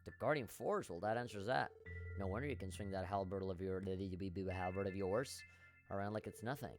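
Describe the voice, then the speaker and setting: A man's voice: sits low, a little fast, faint music behind, pitched below the performer's own voice. A man reading an earnest young detective.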